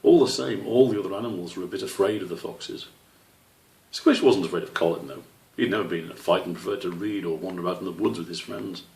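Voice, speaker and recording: A man narrating a children's storybook in a measured, expressive way, as an author reading aloud. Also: low-pitched, vocal fry. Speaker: author reading his own book. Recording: roomy echo, distant microphone.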